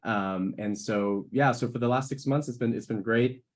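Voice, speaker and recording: A man's voice: mid-range voice, normal speed. An American man, a current student, sharing his experience in a casual, conversational way with many fillers and restarts, over a video call. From United States. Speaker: current scholar and student speaker. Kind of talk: info session talk on a video call.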